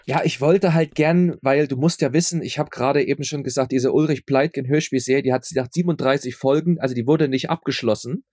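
Male interview guest, medium pitch, dry sound; informal, conversational, repeats himself and seeks agreement. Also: brisk, hardly any pauses, a little mellow, no room sound. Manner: informal, repeats words and phrases, seeks confirmation. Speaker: interview guest, audio drama maker. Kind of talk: casual conversational interview answers.

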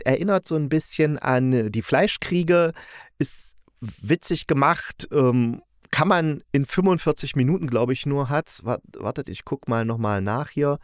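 Man, medium pitch, casual podcast review, enthusiastic, colloquial, self-correcting, dry close sound. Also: expressive, unhurried, dry recording. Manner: colloquial, enthusiastic, often breaking off to correct himself. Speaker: podcast host. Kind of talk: casual spoken audio drama review, unscripted.

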